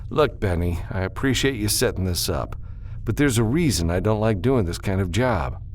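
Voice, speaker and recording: A man voicing a gruff, reluctant loner who pilots a spaceship for hire in an audiobook. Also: lowish voice, expressive, normal speed, a little hiss or hum, pitched above the performer's own voice, close to an everyday voice.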